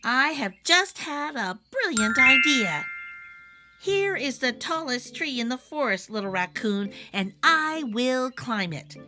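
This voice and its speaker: A woman's voice: sits high, lively intonation, slow, loud music behind the voice. A woman voicing a kind, confident little boy bear cub in a children's storybook, reassuring and upbeat.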